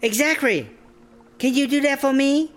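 A woman's voice: high-pitched, normal speed, lightly acted. A woman playing a breezy, bossy startup boss in a comedy audio drama, speaking clipped broken English. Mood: brisk, bossy. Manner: clipped broken English, dropped verbs and articles.

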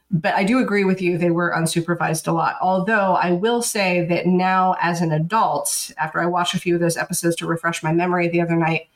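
Dry monotone woman, low voice. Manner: dry, sarcastic. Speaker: podcast co-host. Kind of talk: casual chat.

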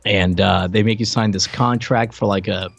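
A man co-hosting a podcast in casual, unscripted chat, with a halting, rambling delivery full of repeated words and stammers. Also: lowish voice, steady tone, gravelly, husky, faint music behind. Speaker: podcast co-host reviewing retro video games.

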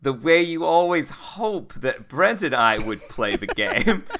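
A man's voice: a little high, very animated, takes it slowly, background noise. A man chatting casually as a player in an improvised tabletop game.

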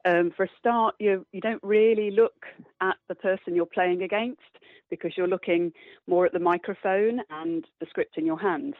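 A woman's voice: fairly low pitch, normal speed, no echo. A woman giving cheerful, thoughtful answers as an interview guest, heard over a phone-like line. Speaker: interview guest, stage actor. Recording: narrow, phone-like band.

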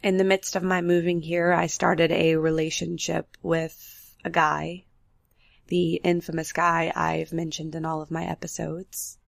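Young woman, podcast host, nasal from flu, very gravelly, dry close sound. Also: low voice, flat delivery, dry recording. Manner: candid, unhurried, personal storytelling. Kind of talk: solo reflective podcast talk. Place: apartment with road noise outside.

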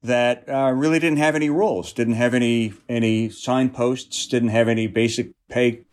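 A man's voice: mid-range voice, steady tone, normal speed. A middle-aged man giving thoughtful, slightly wry answers as an interview guest. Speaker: interview guest, union executive director. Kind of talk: conversational interview.

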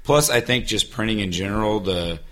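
A man in his thirties co-hosting a casual podcast, slightly hoarse from losing his voice, joking and laughing often. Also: fairly low pitch, moderate pace, fairly bright tone. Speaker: podcast co-host.

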